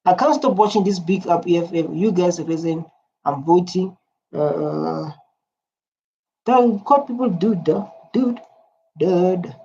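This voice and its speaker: Dark-toned rambling reaction commentator. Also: mid-range voice, slow, long pauses, slight background noise. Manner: rambling, heavy use of "like" and restarts. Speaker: reaction video host. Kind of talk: casual commentary reading out viewer comments. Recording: dull lo-fi sound.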